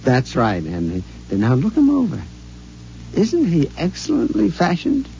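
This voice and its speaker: A smug, scheming husband. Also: male voice, on the high side, wildly swooping pitch, measured pace, vocal fry, dark tone, faint music behind, heavily acted. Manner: conspiratorial, amused, self-satisfied. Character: scheming middle-aged husband, smug and sly. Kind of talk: 1950s radio drama acting. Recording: dull old radio recording with faint hiss.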